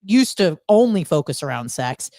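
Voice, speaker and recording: Blunt, lower-voiced woman chatting on radio. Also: sing-song, normal speed, gravelly, mellow, no echo, clean studio sound. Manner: blunt, opinionated, swears freely. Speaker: radio show co-host. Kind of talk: casual unscripted chat, gossipy opinion.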